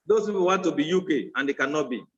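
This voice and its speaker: High-voiced man, preachy. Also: expressive, fast talker. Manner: preachy, encouraging, rhetorical questions. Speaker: host. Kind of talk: casual talk show chat. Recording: dull lo-fi sound.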